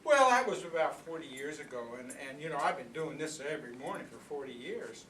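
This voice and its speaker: In an echoey room, a little far from the mic, an older man plays an old rooster telling a comic monologue. The voice is high for a man, and the delivery is folksy, earnest and rambling, like someone proudly sharing a philosophy of life. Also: animated, brisk, fairly bright tone. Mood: proud, reflective. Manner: folksy, rambling, conversational storytelling with repeated phrases. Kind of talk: comic monologue performed live for an audience. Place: indoor workshop room before a group. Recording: echoey room, distant mic, background noise.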